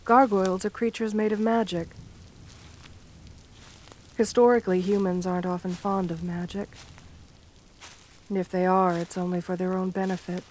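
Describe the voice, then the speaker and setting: A woman voicing a shy, lonely, romantic gargoyle girl in a gentle ASMR roleplay, speaking tenderly with formal, bookish wording. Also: fairly low pitch, little pitch movement, normal speed, pauses often, a little hiss or hum, close to an everyday voice, low-quality recording. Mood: shy, earnest. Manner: tender, soft-spoken, formal old-fashioned wording.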